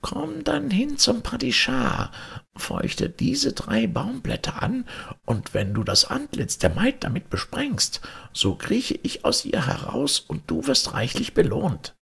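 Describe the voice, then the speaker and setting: A man voicing a grateful, crafty ghost from a fairy tale in a thin, breathy, plaintive voice. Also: high voice, huge pitch swings, slow, fairly bright tone, voice pushed up, heavily acted. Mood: scheming.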